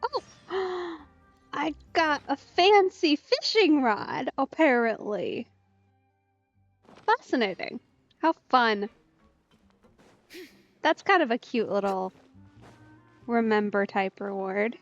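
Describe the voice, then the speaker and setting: Female let's player: playful, leisurely, fairly high voice, close dry mic, casual commentary. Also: very animated, takes it slowly, pauses often, no room sound. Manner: playful, wry. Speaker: game streamer. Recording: dry, slightly dull microphone. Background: game music.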